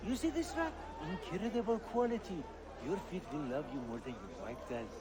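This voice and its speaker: A jovial, wheedling street merchant from a video game. His voice is very high and lively, haggling with theatrical flourish. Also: brisk. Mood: jovial, boastful. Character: wheedling, jovial male bazaar rug merchant. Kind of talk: video game character acting.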